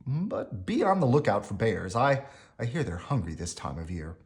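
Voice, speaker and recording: Man as busy young male jouster, bedtime story, casual, expressive, lively pitch movement. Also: moderate pace. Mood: cautioning.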